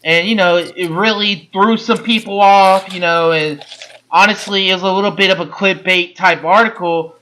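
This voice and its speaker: High-voiced New man hosting a podcast. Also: fairly even intonation, moderate pace, bright, forceful tone, low-quality recording. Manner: loose, rambling, repeats words. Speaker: podcast host. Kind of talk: casual sports talk.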